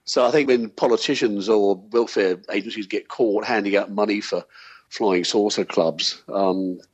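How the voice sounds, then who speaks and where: A man's voice: fairly low pitch, steady tone, moderate pace, slightly rough, highs cut off. A man chatting casually as an interview guest, with a hesitant, wry, discursive way of talking. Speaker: interviewed UFO sceptic.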